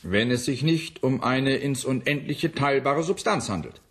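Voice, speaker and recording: An actor playing a subdued, rueful male colleague in a radio drama, with a low, husky voice. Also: lively intonation, a little slow, fairly bright tone, exaggerated voice. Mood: resigned. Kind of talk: German science fiction radio drama acting.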